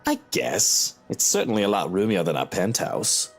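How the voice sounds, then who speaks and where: A woman's voice: extremely low pitch, sing-song, moderate pace, soft background music, exaggerated voice. A woman voicing a haughty, petulant wealthy young husband in a visual novel game, with theatrical, whining indignation. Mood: aloof, grudging.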